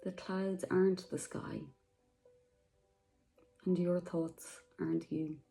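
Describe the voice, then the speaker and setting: A woman guiding a meditation, speaking softly and slowly with long pauses. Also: fairly low pitch, little pitch movement, vocal fry, soft background music, poor audio. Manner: slow, soft, soothing, with long pauses. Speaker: meditation guide. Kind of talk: guided meditation.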